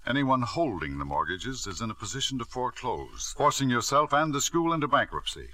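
A ruthless knighted tycoon. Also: male voice, medium pitch, sing-song, fairly quick, pushed and bright, dry recording. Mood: cool menace. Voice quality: crisp, cool, sardonic. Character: ruthless self-made millionaire father, knighted. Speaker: narrator and lead character. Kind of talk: 1940s radio acting. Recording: dry old radio broadcast.

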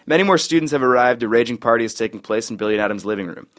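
A man narrates stage directions for a sitcom table read. His pitch is average for a man, and he reads scene headings and actions as scripted narration. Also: animated, rapid-fire, fairly bright tone.

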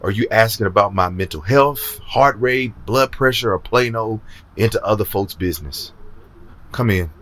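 A man's voice: fairly low pitch, normal speed, fairly bright tone, close to an everyday voice. An actor playing a proud, devoted senator, a grown man, in an audio drama. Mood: guarded.

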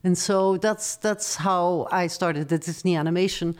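Middle-aged woman, low voice. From Belgium. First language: not English. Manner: measured, reflective storytelling. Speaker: guest, former studio executive. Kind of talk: interview conversation.

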